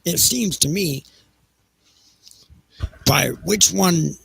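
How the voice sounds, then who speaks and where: A man playing a peevish, defensive railroad executive in an audio drama, with whiny, halting, indignant delivery. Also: on the high side, creaky, fairly bright tone, breathy edge, no room sound, voice pushed up, full character voice.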